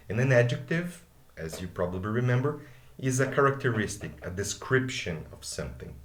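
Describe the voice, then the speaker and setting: A man's voice: medium pitch, roomy sound. A man with a Brazilian Portuguese accent, a native Portuguese speaker, teaches a live online English grammar class over a video call. He explains patiently and keeps checking in with "okay?".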